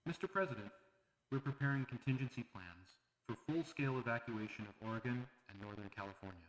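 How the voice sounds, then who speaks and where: A man playing a brisk, alarmed emergency official briefing a president in a horror animation. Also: medium pitch, natural voice. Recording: roomy echo.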